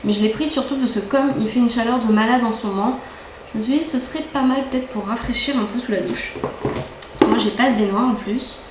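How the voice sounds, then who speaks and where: A woman's voice: fairly high pitch, a little fast, noisy background. Chatty young woman in an echoey room. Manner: chatty, enthusiastic, repeats words for emphasis. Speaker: beauty vlogger. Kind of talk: casual haul chat. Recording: echoey room, faint ambience.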